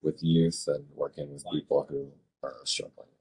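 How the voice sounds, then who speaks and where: A man working as a fire dispatcher, answering interview questions in a measured, thoughtful way with halting phrasing. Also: a bass voice, level tone, darkish tone, slightly rough.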